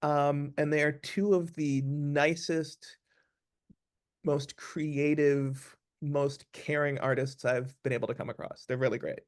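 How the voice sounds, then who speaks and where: A man speaking as an actor being interviewed, explaining things casually in a thoughtful, deliberate way. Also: fairly high pitch, little pitch movement.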